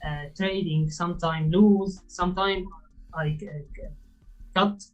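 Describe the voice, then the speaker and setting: A man in his twenties with a Syrian Arabic accent, a native Arabic speaker, answering interview questions in halting English full of fillers. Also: sits high, fairly even intonation, muffled recording. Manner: halting, hesitant speech with many fillers and restarts. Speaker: guest trader.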